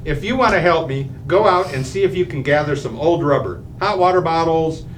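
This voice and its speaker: A man's voice: a little high, fairly quick, background noise, lightly acted. Man playing a cocky harebrained radio dad. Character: cocky harebrained father, homemade-invention schemer. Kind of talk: old-time radio comedy acting.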